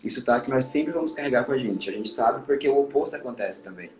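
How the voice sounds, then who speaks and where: Brazilian male English teacher, upbeat encouraging explainer, talks fast, echoey room sound. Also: medium pitch, expressive. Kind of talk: casual conversation giving advice. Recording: roomy echo.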